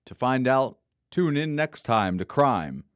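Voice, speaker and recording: A man narrates a scripted mystery serial. His voice has medium pitch and is recorded close and dry, with no room sound. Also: very animated, slow, clear, resonant tone, no echo.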